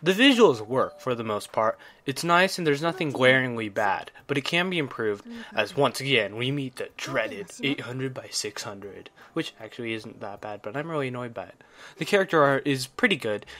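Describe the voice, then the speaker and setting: A man's voice: medium pitch, expressive, normal speed, a bit bright. A man narrating a scripted video game review in an enthusiastic, opinionated way. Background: background music.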